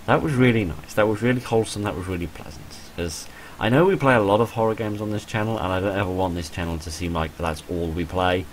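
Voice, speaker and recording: A man's voice: low voice, quick pace, slight background noise, low-quality recording. A man giving gushing, repetitive let's play commentary as host of a gaming channel. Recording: music behind the voice.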